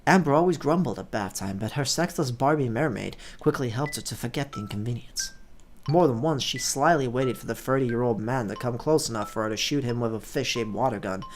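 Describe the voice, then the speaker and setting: A mid-pitched voice belonging to a let's play host, with music clearly playing underneath. The delivery is that of an expressive storyteller sharing a visual novel with viewers. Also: brisk. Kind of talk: expressive story narration, read aloud. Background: background music.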